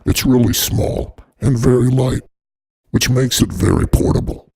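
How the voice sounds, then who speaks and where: A man with a gravelly, husky, effected voice narrating a product unboxing in a casual, sweary, irreverent way. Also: medium pitch, a little slow, darkish tone. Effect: voice changer processing.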